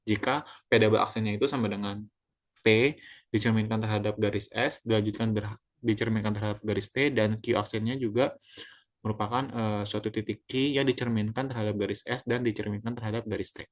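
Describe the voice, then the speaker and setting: A young man from Indonesia walks his class through a geometry proof. His voice sits a little low, and he talks haltingly, stopping on fillers and correcting himself as he goes. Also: fairly even intonation. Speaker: student presenter.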